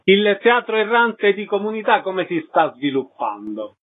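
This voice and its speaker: A man's voice: high-pitched, big pitch swings, measured pace. A man working as a radio interviewer, delivering prepared questions and announcements through a narrow, phone-like sound.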